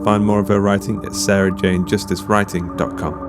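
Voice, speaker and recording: Low-voiced man reading podcast credits. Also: little pitch movement, brisk. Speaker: podcast host. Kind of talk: scripted podcast outro and credits. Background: music.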